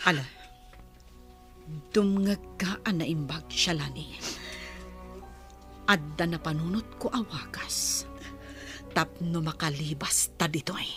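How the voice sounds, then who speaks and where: A woman's voice: sits low, takes it slowly, pauses often, music in the background. A woman with a Filipino accent playing a resolute, protective elder-sister figure in a radio drama. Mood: reassuring, firm.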